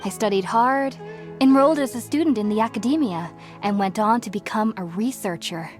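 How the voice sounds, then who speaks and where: A woman playing an earnest, gentle young researcher in a video game, explaining things in a thoughtful, articulate way. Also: a little high, fairly quick, close to an everyday voice. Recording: music behind the voice.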